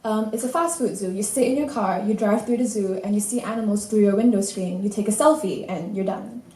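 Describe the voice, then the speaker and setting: A woman's voice: mid-range voice, brisk, warm tone. A teenage girl giving a prepared student talk at a conference. She speaks conversationally, with "um"s and dry asides. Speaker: student presenter. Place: conference hall. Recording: distant mic in an echoing hall with faint noise.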